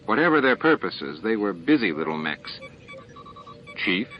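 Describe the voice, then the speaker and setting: A man's voice: lowish voice, very animated, a little fast, faint music behind. A man reading a science fiction novel as a scripted audiobook narration. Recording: muffled, band-limited tape audio.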